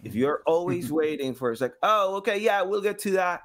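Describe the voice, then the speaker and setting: A man in his thirties chatting casually as a podcast guest, thinking aloud haltingly and voicing imagined quotes. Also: sits high, lively intonation, a bit bright. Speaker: podcast guest, game sound designer. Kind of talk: casual interview chat. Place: small home room with slanted ceiling, indoors.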